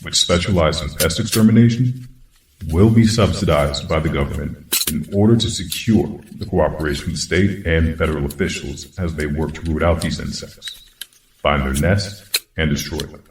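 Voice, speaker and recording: A roomy, distant recording of a man acting as a solemn American president with an extremely deep voice. He speaks in a measured, official way, as in a formal national address from an audio drama. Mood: grave, resolute. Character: male US president giving a grave emergency address. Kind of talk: formal political speech, scripted audio drama. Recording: roomy, distant, noisy with clicks.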